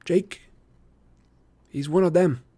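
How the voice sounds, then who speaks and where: Dude doing a smart-mouth teen kid, way higher voice, quick and sarcastic. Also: male voice, dark tone, husky. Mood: urgent.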